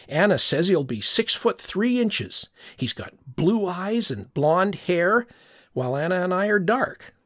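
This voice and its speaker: An older man reads a story aloud as if remembering it himself, in a reflective, wistful way. His voice sits a little higher than most men's. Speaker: audiobook narrator. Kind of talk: first-person scripted narration.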